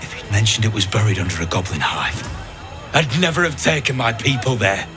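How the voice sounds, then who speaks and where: An actor playing a bitter, hostile mercenary in a fantasy game, with a curt, confrontational manner. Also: male voice, on the low side, big pitch swings, fairly quick, music in the background.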